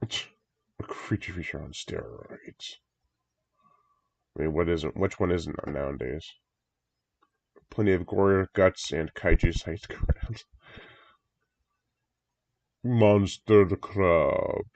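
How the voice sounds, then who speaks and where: Congested low-voiced man reacting to a trailer. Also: takes it slowly, creaky, long pauses, darkish tone, dry recording. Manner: enthusiastic, rambling, chuckles often. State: congested from a recent cold. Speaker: horror movie reviewer. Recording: dry, dull lo-fi home recording.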